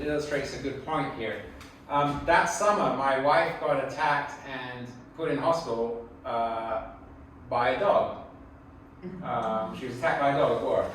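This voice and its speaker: A middle-aged man with an English accent speaks to a room in an informal, reflective lecture style. His voice sits at an ordinary male pitch. It is picked up from a distance, with heavy echo and faint noise. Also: fairly bright tone. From England. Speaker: speaker giving a public talk. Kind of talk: conversational slide lecture. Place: indoor talk venue before an audience.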